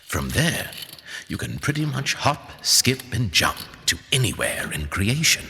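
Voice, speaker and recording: A man playing a knowing old storyteller in an audio drama, theatrical and deliberate, in a reverberant space with faint noise. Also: mid-range voice, sing-song, sharp tone, full character voice. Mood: knowing, explanatory. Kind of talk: fan audio drama acting.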